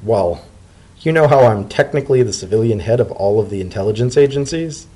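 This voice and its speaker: Man as a wry, husky pony bureaucrat. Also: fairly low pitch, lively intonation, mellow. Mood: dry. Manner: dry, brisk, fussily caring. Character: fussy, wry male pony civil servant, protective godfather. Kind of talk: dramatic reading of a story.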